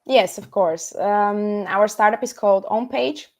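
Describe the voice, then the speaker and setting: A young woman from Bulgaria answering casually as an interview guest, reflective and earnest, with hesitant pauses. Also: mid-range voice, darkish tone. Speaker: interview guest, startup founder.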